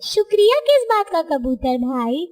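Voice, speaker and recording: Kind little female bird, played by a woman, very high, eager, reassuring cartoon voice. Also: sing-song, measured pace, a little mellow, soft background music, exaggerated voice. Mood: concerned, reassuring.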